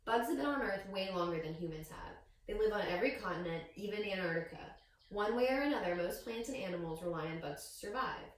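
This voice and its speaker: A woman reading a picture book aloud to young children as a teacher, with expressive storytelling and questions to her listeners. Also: mid-range voice, fast. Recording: very echoey room, distant microphone.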